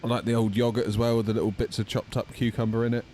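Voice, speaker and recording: A man with a croaky voice, chatting casually with viewers while streaming a flight sim from home. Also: medium pitch, rapid-fire, slight background noise. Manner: chatty and relaxed, addressing chat by name.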